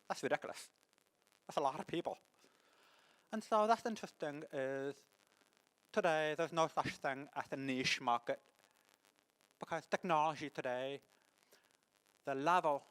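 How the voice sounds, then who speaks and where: A deaf man with a mixed French and English accent gives a conference talk, speaking haltingly with many restarts. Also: a little high, fairly bright tone, low-quality recording. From born in the United States, lives in France. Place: conference hall.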